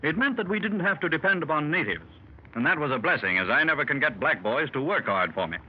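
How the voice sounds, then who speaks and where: A man acting out a genteel middle-aged explorer who tells his adventure to gentlemen at a club in polished, formal phrases. His voice is a little high and swoops up and down expressively. It comes through a dull, muffled 1930s radio transcription with faint hiss. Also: expressive, quick pace, natural voice. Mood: measured. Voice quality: formal, clubby storyteller. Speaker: explorer recounting his expedition.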